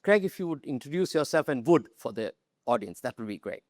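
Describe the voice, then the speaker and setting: A man's voice: mid-range voice, big pitch swings, brisk, dry recording, studio quality. A man moderating a conference panel, welcoming the audience and introducing the guests. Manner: friendly, welcoming. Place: conference stage.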